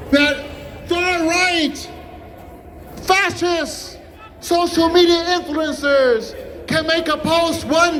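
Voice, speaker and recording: Male rally orator, very high raised voice, slow emphatic delivery, impassioned activist pitch. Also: a little hiss or hum, poor audio. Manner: impassioned, declamatory, emphatic pauses. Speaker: protest speaker, activist. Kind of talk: rally speech. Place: outdoor street protest. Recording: loud rally PA with crowd and music. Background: crowd and music.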